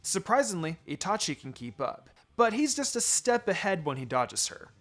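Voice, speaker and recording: A man's voice: sits high, very animated, moderate pace, a bit bright. A man reading fan fiction aloud as a dramatic, theatrical narrator who acts out the dialogue. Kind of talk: dramatic scripted narration of a story. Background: background music.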